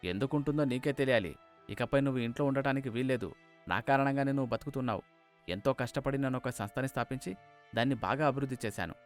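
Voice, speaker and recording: A man voicing an angry, domineering father in an animated moral story, stern and scolding. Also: mid-range voice, fast, soft background music, natural voice.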